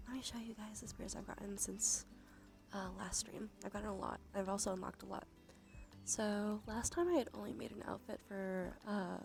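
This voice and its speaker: A woman hosting a casual ASMR livestream, chatting softly and narrating what she does, with a breathy voice that often slips into a whisper. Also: mid-range voice. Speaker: livestream host. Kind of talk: casual ASMR stream chat. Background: background music.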